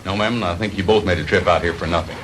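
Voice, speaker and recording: No-nonsense police lieutenant, rapid speech, forceful low voice, 1960s B-movie, faint soundtrack noise. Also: male voice. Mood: weary, firm. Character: brisk, businesslike male police lieutenant. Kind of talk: 1960s B-movie cop dialogue. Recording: noisy 1960s film soundtrack.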